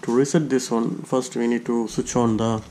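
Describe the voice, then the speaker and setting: A man's voice: medium pitch, steady tone, moderate pace, noisy background. A man presenting a step-by-step hands-on tutorial, often searching for his words as he explains.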